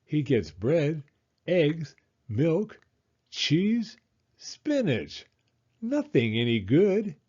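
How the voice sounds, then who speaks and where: A man's voice: a little high, big pitch swings, very slow, pauses often, a little mellow, slightly rough, lo-fi sound, pitched above the performer's own voice, full character voice. A man voicing an insistent, cheeky little girl in a children's storybook, with pleading, emphatic delivery. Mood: complaining.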